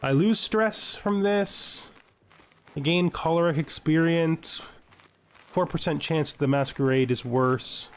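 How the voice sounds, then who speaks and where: A man's voice: a little high, slow, soft background music. A man giving casual let's-play commentary, thinking aloud and wryly addressing the game's characters. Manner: wry, talks to game characters. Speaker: gaming video host.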